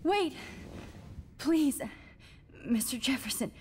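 An actress playing an earnest, defiant captive teenage girl in a video game, with a husky, strained delivery. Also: high voice, lively intonation, slow, darkish tone, a little hiss or hum. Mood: pleading, tense.

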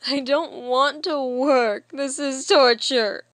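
A flamboyant young cartoon man, played by a woman who declaims like a vain drama queen. The delivery is loud, drawn out and overdramatic, recorded close and dry. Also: high-pitched, steady tone, slow, dry recording. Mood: dramatic despair. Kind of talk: amateur comic dub voice acting.

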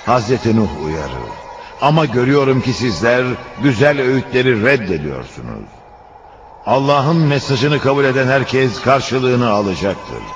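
A man's voice: medium pitch, very animated, unhurried, a little hiss or hum. A man narrating a religious cartoon in a solemn, declamatory storytelling style. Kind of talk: scripted religious cartoon narration, voicing quoted speech. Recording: dull lo-fi audio, faint music behind.

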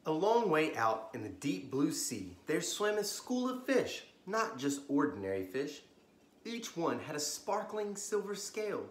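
An American man in his thirties hosting a children's story time, reading aloud expressively with gentle asides to young listeners. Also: fairly high pitch, sing-song, measured pace. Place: living room, on a couch indoors. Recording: roomy, echoey home recording.

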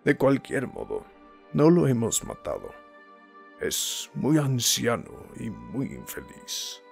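A man voicing a wise old wizard in a fantasy film dub, solemn and deliberate. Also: mid-range voice, huge pitch swings, vocal fry, faint music behind, exaggerated voice. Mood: grave, compassionate.

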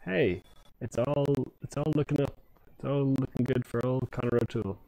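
A man's voice: medium pitch, moderate pace, creaky, warm tone, rough recording. A man playing a video game on a comedy show, chatting casually and laughing at his own mistakes. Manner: laughing, self-mocking. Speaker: player and lead character. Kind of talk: casual comedic gameplay chat.

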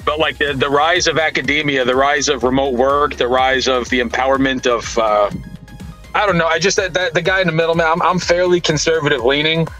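A man in his forties with a bright, tense voice and a fairly high pitch, arguing bluntly over a video call. He is provocative and swears freely. Faint music runs underneath. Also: fairly even intonation, brisk. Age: 40s. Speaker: podcast panelist. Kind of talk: heated debate on a video call. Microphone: studio mic on a video call.

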